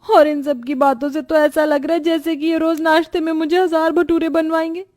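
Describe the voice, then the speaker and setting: A woman's voice: sits high, flat delivery, fairly quick, a little mellow, pitched above the performer's own voice, close to an everyday voice. A woman voicing a young, put-upon new bride who complains and protests plaintively in a cartoon story. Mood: whining dismay.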